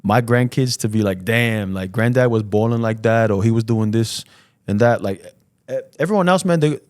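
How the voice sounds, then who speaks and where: A man from Fiji speaks in a low voice, captured close and dry. He talks like a relaxed podcast guest, loose and slangy, often tagging phrases with "man" and "you know". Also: moderate pace, dry recording. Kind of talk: casual chat.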